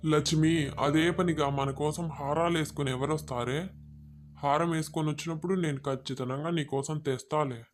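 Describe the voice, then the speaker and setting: A man's voice: fairly high pitch, fairly even intonation, normal speed, lightly acted. A man playing a ruthless, demanding forest robber in a moral-story cartoon. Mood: domestic, firm. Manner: menacing, demanding. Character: ruthless male forest robber, gruff family man at home.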